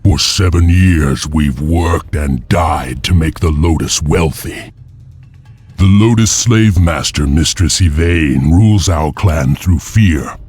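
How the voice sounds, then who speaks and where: Vengeful warrior heir, very low voice, slow, solemn, deliberate, fantasy game narration. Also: male voice, a little mellow, slightly rough, soft background music, heavily acted. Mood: defiant, resolute. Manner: grave, deliberate, storyteller-like. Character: solemn, vengeful male heir of an enslaved wolf clan. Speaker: story narrator and lead character. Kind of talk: dramatic scripted game narration and dialogue.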